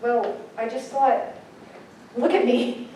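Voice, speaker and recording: A woman's voice: fairly high pitch, flat delivery, takes it slowly, warm tone, a little hiss or hum. A woman playing a shy, hesitant schoolgirl in a staged children's musical, speaking and singing. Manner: hesitant, unsure. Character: shy schoolgirl with a tail and a branch hand. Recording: distant mic in echoey hall, music behind.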